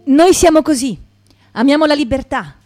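A woman's voice: high-pitched, moderate pace, mellow, soft background music, close to an everyday voice. A woman playing a proud, defiant old peasant father in a radio theatre monologue, plain and resolute.

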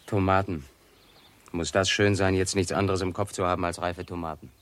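An actor playing a sceptical, world-weary research scientist in a vintage radio play. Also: male voice, sits low, level tone, moderate pace, no room sound, close to an everyday voice. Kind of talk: 1960s German radio play acting.

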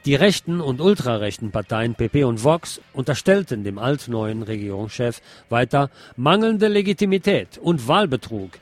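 A man's voice: mid-range voice, sing-song, moderate pace, hardly any pauses. A man reading scripted news in the role of a radio news presenter.

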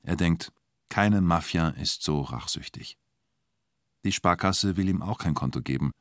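Low-voiced male documentary narrator. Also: measured pace, no echo, clean studio sound.

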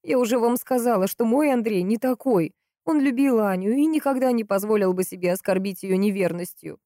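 Female narrator as distraught, hot-tempered sister in crime audiobook: raised pitch, emphatic, impatient, dry sound. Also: mellow, no echo, lightly acted. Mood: indignant. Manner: emphatic, impatient, drawn-out stresses.

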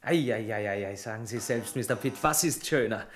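An actor playing a cheeky, daydreaming young bank apprentice in a German radio play, chatty and flippant. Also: male voice, fairly low pitch, fairly quick, soft background music, natural voice. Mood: dreamy, cheeky.

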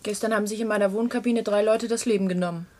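An actress playing a listless, disillusioned office assistant in a dystopian 1970s radio play, resigned and matter-of-fact. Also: female voice, mid-range voice, fairly even intonation, quick pace, a little mellow, lightly acted. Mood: flat, matter-of-fact.

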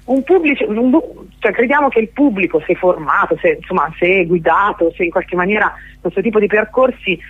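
A woman's voice: mid-range voice, a little fast, few pauses, slightly rough. Woman on a narrow phone line. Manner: hesitant, searching for words, long-winded. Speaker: festival organiser interviewed as guest. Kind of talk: live radio interview, explanatory. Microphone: telephone. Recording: narrowband telephone line on radio.

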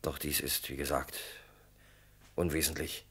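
An actor playing a guilt-struck salesman in a radio play, confessing earnestly in a halting, often hushed way. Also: extremely low pitch, flat delivery, full character voice. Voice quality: husky. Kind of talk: German radio play acting.

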